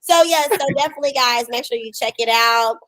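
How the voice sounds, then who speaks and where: A woman with a high voice and a dry, close sound hosts a casual podcast. Her delivery is animated and sassy, full of slang. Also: a little fast, dry recording, rough recording. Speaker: podcast host. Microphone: video call.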